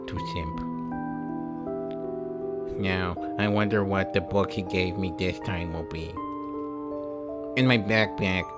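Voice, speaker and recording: Guy doing a soft low simp voice for a young game hero, murmured, music playing under it. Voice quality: low, hushed, put-on simp voice. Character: mopey young male visual novel hero narrating. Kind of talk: reading game narration aloud.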